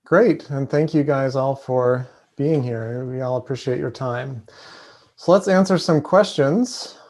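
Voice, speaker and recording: A man hosting an online panel over a video call, talking in a relaxed way with many fillers. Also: medium pitch, measured pace. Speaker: host, professor.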